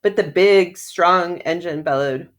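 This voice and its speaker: A woman reading a children's storybook aloud as a teacher would, with expressive delivery. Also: on the low side, measured pace. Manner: expressive, acts out sounds and characters.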